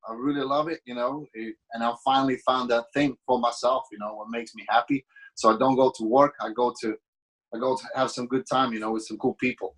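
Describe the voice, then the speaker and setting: A man's voice: mid-range voice, steady tone, fairly quick, fairly bright tone, low-quality recording. A man around thirty from Latvia, with a Latvian accent tinged with British, chatting as a podcast guest in a friendly, rambling way with lots of fillers and restarts. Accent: Latvian with a slight British tinge. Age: about 30. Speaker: podcast guest, business owner. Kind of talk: casual interview chat.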